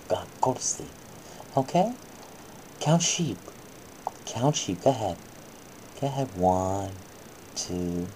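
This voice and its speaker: Animated, soft-toned woman telling a bedtime story. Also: very deep voice, slow, long pauses, a little hiss or hum, rough recording. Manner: soothing coaxing asides alternating with dramatic reading. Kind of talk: acted satirical bedtime story read to children, with asides.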